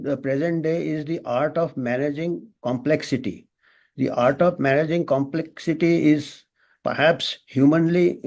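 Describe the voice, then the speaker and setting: A man with an Indian accent giving extemporaneous panel remarks as a doctor, halting and thinking aloud with frequent false starts. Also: on the high side, darkish tone.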